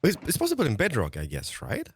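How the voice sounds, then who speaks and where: A man in casual chat as a fellow player, giggly and excitable, often repeating his words. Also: medium pitch, fast, husky, dry recording, studio quality.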